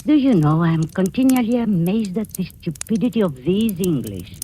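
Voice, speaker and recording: An actress playing a scheming, falsely sweet middle-aged aunt in old radio suspense style, with halting, menacing delivery. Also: female voice, mid-range voice, big pitch swings, dark tone, close to an everyday voice. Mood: sly, knowing. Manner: cloying endearments, deliberate halting menace. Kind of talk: 1940s radio suspense acting. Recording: noisy old-time radio recording.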